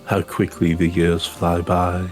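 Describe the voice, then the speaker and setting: A man plays an ancient woodland elder from a fantasy game. The voice is deep and evenly pitched, gracious and wise, with measured archaic phrasing over faint music. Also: completely flat delivery, a little mellow. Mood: warm, wistful. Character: ancient, gracious, wise woodland elder of long-lived rabbit-eared folk. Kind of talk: reading video game dialogue in character.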